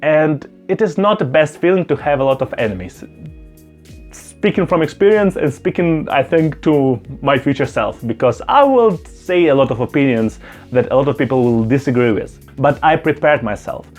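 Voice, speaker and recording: A man, a non-native English speaker, speaks straight to the camera in a slightly raised voice. He is earnest and emphatic and repeats phrases to drive his point home. A little background music runs quietly under him. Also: a little high, lively intonation, moderate pace. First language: not German; Russian-speaking background. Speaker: YouTuber. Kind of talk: direct-to-camera opinion vlog. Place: indoors, talking to camera.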